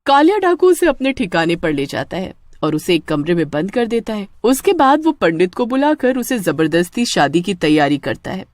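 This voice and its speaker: A woman narrating a scripted story as an expressive storyteller. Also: medium pitch, moderate pace, hardly any pauses, no echo, studio quality.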